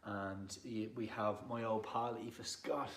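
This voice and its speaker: Low-voiced Dublin man in an echoey room. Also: fairly even intonation, slow pace. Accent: Irish, Dublin. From Dublin, Ireland. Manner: self-deprecating, chatty. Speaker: poet and performer. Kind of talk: casual rambling introduction to camera. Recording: roomy echo with clear background noise.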